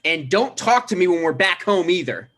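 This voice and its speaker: A man's voice: high-pitched, big pitch swings, normal speed, a bit bright, full character voice. A man playing a touchy, aggrieved mayoral candidate and grown son in a comedic table read, earnest and exasperated. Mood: hurt, irritated.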